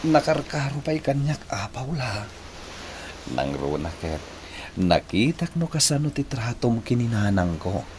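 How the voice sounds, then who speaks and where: A man acting an earnest young suitor in an Ilocano radio drama, tender, breathy and emotional. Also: mid-range voice, very animated, unhurried, a little mellow, slight background noise.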